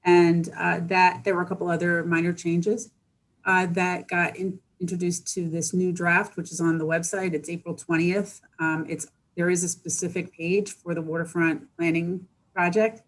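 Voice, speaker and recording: Female village planner, lowish even voice, matter-of-fact and halting, briefing colleagues over a video call. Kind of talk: informal meeting update over video call. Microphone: video call microphone.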